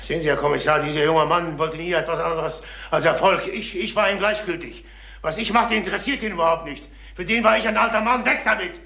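An actor playing a nervous, defensive older travelling salesman who confesses, in a halting, brooding radio crime drama manner. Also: sits high, vocal fry, bright, forceful tone, heavily acted. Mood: agitated, bitter.

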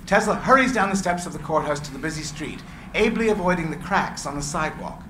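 A man's voice: on the high side, fairly bright tone. A man narrating stage directions at a live screenplay reading. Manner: brisk, matter-of-fact. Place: gallery room with seated audience. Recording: echoey room, clear hiss.

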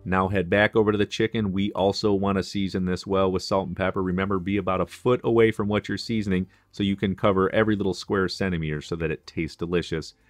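Chef narrating a recipe, low male voice, dry and close, clear practical instruction. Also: steady tone, fast, hardly any pauses, dry recording, soft background music. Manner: instructive, friendly, practical kitchen tips. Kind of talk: cooking tutorial voice-over.

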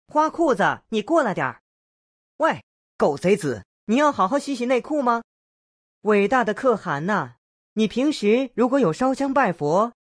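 A woman's voice: a little high, no room sound, studio quality. A woman voicing a bold, scornful warrior heroine who taunts her foes in a video game. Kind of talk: exaggerated game voice acting.

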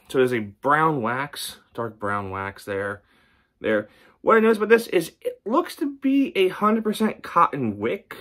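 A man's voice: medium pitch, fairly bright tone. Rambling, chatty man reviewing candles. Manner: chatty, rambling, many ums and restarts. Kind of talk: casual product review vlog. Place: home room with record shelves.

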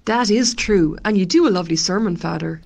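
A woman's voice: mid-range voice, brisk, gravelly, a little mellow. A woman playing a polite, earnest Irish parishioner in an amateur radio drama.

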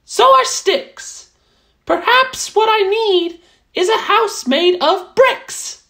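A woman's voice: very high voice, expressive, slow, a bit bright, voice pushed up, heavily acted. A woman voicing an eager, picky little gray mouse from a children's storybook, exclaiming and musing aloud to itself. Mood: fussy, doubtful.

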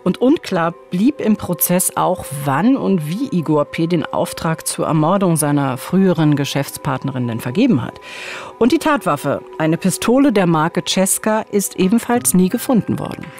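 A woman's voice: lowish voice, lively intonation, moderate pace, darkish tone, faint music behind. A woman in her forties co-hosting a true crime podcast, narrating cases in a conversational style. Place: small dark radio studio, indoors. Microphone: studio microphone on a boom arm, close.

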